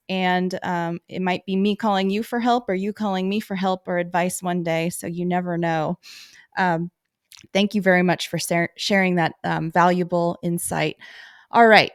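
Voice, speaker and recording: A woman's voice: lowish voice, no room sound, studio quality. A woman hosting an interview in a friendly, upbeat casual chat. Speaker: podcast host.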